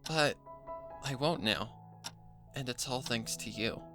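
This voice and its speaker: A narrator voicing a cheerful, grateful young female pony with a soft, sincere manner in a story reading. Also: medium pitch, slow pace, soft background music.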